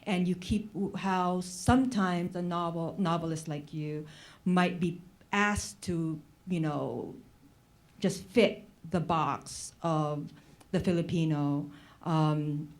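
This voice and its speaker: Female panel moderator, fairly low voice, informal and emphatic, wanders and restates before reaching her point. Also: fairly even intonation, takes it slowly. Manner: digressive, emphatic, restates phrases. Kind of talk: informal literary conversation.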